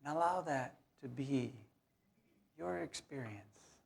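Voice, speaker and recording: A man leading a guided meditation in a soothing, unhurried way, leaving long pauses between short phrases. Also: medium pitch, gravelly, breathy edge. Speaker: meditation leader.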